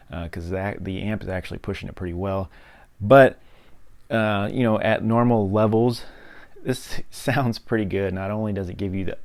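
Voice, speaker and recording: A man's voice: low-pitched, creaky, a little mellow. An American man presenting a DIY project walkthrough in an informal, rambling way, often repeating words for emphasis. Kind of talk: casual hands-on project walkthrough.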